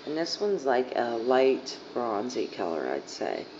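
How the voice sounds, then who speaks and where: Hoarse woman chatting through a haul. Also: extremely low pitch, big pitch swings, measured pace. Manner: rambling, lots of fillers. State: hoarse, losing her voice. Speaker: beauty vlogger. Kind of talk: casual haul chat. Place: living room couch by a sunny window. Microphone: phone camera at arm's length. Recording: dull lo-fi phone audio, faint hiss.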